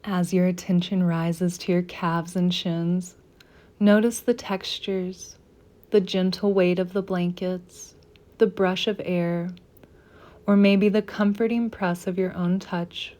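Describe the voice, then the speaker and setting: A woman leading a guided meditation in a soft, soothing, unhurried manner with gentle pauses. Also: lowish voice, level tone.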